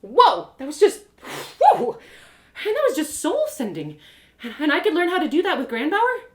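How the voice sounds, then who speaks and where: A woman voicing an excitable, curious young girl adventurer in a one-woman audio drama, gushing and exclaiming with wide-eyed wonder. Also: very high voice, moderate pace, echoey room, voice pushed up, exaggerated voice. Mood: amazed, excited.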